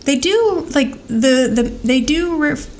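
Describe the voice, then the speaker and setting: A woman's voice: sits high, animated, slow pace, darkish tone. An American woman co-hosting a podcast in a casual, wry, chatty way as she recaps. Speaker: podcast co-host. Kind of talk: casual chat, episode recap. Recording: faint background noise.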